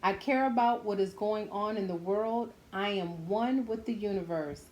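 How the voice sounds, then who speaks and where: A woman's voice: medium pitch, normal speed, darkish tone, a little hiss or hum. A woman reading a children's book aloud, adding simple, encouraging asides spoken directly to her young listeners. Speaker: children's story-time host reading a picture book aloud.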